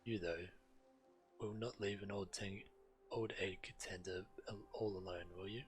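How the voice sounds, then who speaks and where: A man's voice: low-pitched, fairly even intonation, a little slow. A man who streams games, reading fantasy quest dialogue aloud. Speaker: game streamer. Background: game music.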